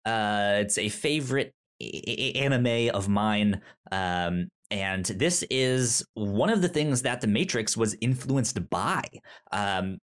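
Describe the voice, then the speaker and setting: A man hosting a casual podcast, talking over a remote call. His voice sits somewhat low, and he stammers often, with frequent ums and restarts on his words. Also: lively intonation, takes it slowly. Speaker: podcast host. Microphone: remote call mic.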